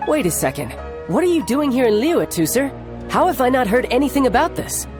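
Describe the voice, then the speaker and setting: Game role: young warrior big brother, smooth-talking and cocky, very animated delivery, music underneath. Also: male voice, very high voice, brisk. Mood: concerned, gentle. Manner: smooth-talking, breezy, self-assured. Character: breezy, cocky young male fighter, affectionate big brother. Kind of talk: animated video game character acting. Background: background game music.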